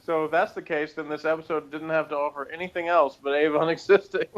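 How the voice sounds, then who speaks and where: A man's voice: a little high, little pitch movement, fast talker. A man co-hosting a casual review chat, with a dry, teasing way of talking. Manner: dry, teasing, laughs often. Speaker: podcast co-host. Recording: dull lo-fi sound.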